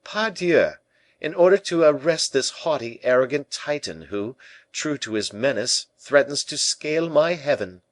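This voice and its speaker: A young king as a man reads him with a proud, fiery temper. The voice leaps up in pitch and swings theatrically, imperious and haughty, in a dry audiobook sound. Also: expressive, measured pace, no room sound, natural voice. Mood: imperious anger.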